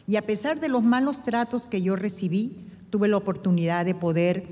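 A woman's voice: mid-range voice, fast, mellow. A middle-aged woman from Peru with a Peruvian Spanish accent, reading her personal testimony earnestly on a church stage. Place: church stage before an audience. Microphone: stand microphone. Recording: long reverb of a large church hall.

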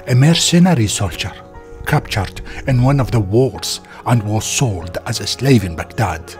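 A man reads a scripted historical narration as a documentary narrator. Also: mid-range voice. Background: background music.

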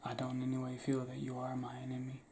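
Young man, half-whispered, laid-back, gravelly, close to the camera, small room. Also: medium pitch, completely flat delivery, fast talker, warm tone, slight background noise. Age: young adult. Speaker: ASMR roleplay creator. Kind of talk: ASMR roleplay, spoken to the listener. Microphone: camera close to face.

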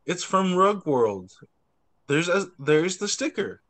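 A man's voice: sits high, sing-song, slow, a bit bright, exaggerated voice. A man voicing an anxious, particular little boy from a picture book in an earnest, plaintive manner.

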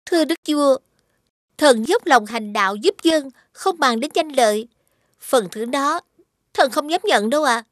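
High-voiced young court lady. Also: animated, measured pace, no echo. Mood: respectful. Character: young, earnest, courteous fairy-tale lady of the royal court. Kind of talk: animated fairy-tale film dialogue.

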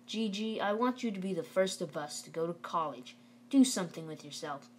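A woman's voice: on the low side, a little fast, a little hiss or hum, lightly acted. Woman reading as a firm, folksy father. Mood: earnest, lecturing. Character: stern, plain-spoken Southern father, a working man urging schooling.

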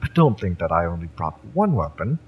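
Cocky cyberpunk merc, played by a male actor: low, slow, smug menace with big swings in pitch, audio-drama style, ambient noise behind. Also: sing-song, lo-fi sound. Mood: smug, menacing. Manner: taunting, smug, drawn-out menace with swearing. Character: cocky, fiery young male cyberpunk mercenary, taunting and menacing. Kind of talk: dramatic audio roleplay, intimate villain-romance acting.

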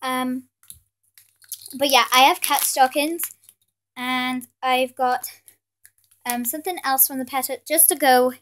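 British teen girl vlogger, high voice, stuffed up with a cold, rambly and apologetic. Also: fairly even intonation, slow pace, pauses often, a bit bright, a little hiss or hum. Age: teens. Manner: rambling, full of fillers and apologies. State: has a cold, sniffly. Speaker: pet channel host. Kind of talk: casual haul vlog. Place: indoors at a table with Christmas decorations. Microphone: camera filming her hands from above.

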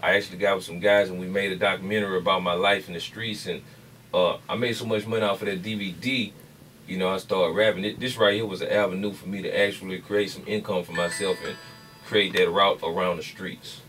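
Louisiana rapper guy chatting on radio, southern accent, lowish voice, easygoing, kinda far from the mic. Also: fairly even intonation, fast talker, a bit bright, slight background noise. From Baton Rouge, Louisiana. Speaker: rapper, interview guest. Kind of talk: casual interview chat. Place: small radio studio with people around. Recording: distant camera audio in studio.

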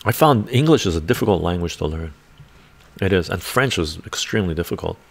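A man's voice: deep voice, animated, a little fast, vocal fry. An older man with a congested chest hosting a casual livestream from a home room full of bookshelves, reading viewer comments aloud and chatting with a chuckle. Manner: rambling, chuckling, reads chat aloud. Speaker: livestream host. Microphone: desk microphone in front of him.

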